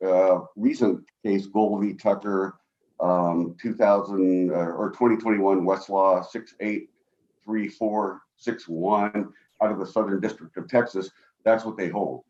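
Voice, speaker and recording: A man with a booming voice arguing an appeal as an attorney over a video call from home, halting and full of fillers. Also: fairly even intonation, a little mellow, lo-fi sound. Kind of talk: appellate oral argument. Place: home office.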